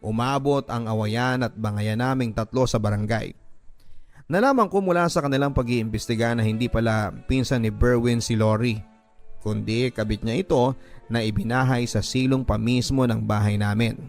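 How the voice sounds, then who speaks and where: A man's voice: mid-range voice, normal speed, close to an everyday voice. Man voicing a betrayed young mother, music behind. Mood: bitter, resigned. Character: betrayed young mother, wronged and bitter, telling her story. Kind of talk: Tagalog radio drama letter narration. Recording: music under the voice.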